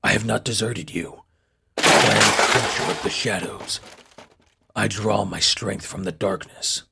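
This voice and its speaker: A man voicing a solemn, brooding alien rebel of the shadows in a comic book dub, speaking gravely and formally. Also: lowish voice, steady tone, a little slow, very noisy. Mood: resolute.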